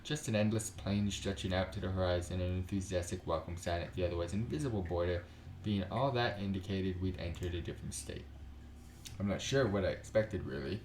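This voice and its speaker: A man's voice: low voice, a little fast, echoey room, slight background noise, rough recording. A man narrating a visual novel for a let's play, breaking off into joking asides. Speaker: video game streamer. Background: soft background music.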